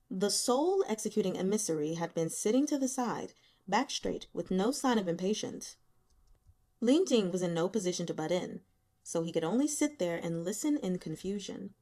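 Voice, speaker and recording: A woman reading a novel aloud as expressive scripted narration. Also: mid-range voice. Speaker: audiobook reader.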